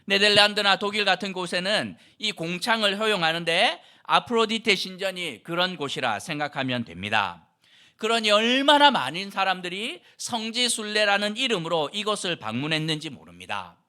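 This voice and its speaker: A man's voice: high voice, sing-song, moderate pace, pushed and bright. A middle-aged male pastor from Andong preaching a sermon in an explanatory, conversational way, posing rhetorical questions to the congregation. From Andong, South Korea.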